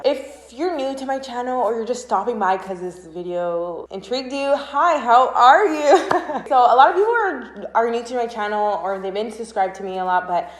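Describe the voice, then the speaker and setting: A young woman from Canada in her twenties chatting casually to camera as a vlogger, bubbly and expressive. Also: medium pitch. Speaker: vlogger and channel host. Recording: roomy, echoing room sound.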